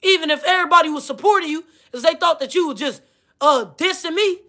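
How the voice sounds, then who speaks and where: A woman's voice: extremely high pitch, fast, fairly bright tone. A woman with a Missouri accent, ranting casually to camera, heated and profane, repeating herself. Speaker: vlogger.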